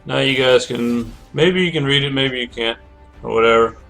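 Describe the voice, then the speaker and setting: A man's voice: medium pitch, moderate pace, vocal fry, a bit bright, soft background music. A man streaming a video game, chatting casually and rambling with many self-corrections, his voice cracking from a cold. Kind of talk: casual gameplay commentary.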